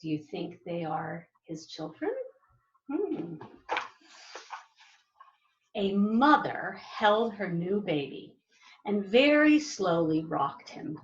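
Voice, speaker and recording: A woman reading a children's storybook aloud like a teacher, with drawn-out, dramatic emphasis and asides to her young listeners. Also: medium pitch, very animated, echoey room, highs cut off, a little hiss or hum. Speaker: elementary school teacher reading aloud to pupils.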